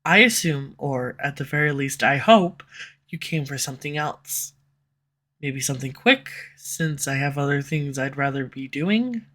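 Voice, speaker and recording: Woman doing a snarky villain, bright edgy voice, sarcastic teasing all the way through. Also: very deep voice, lively intonation, a little slow. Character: snarky sharp-tongued villain, slightly tsundere. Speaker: audio roleplay voice actor. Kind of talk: scripted audio roleplay. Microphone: USB condenser mic.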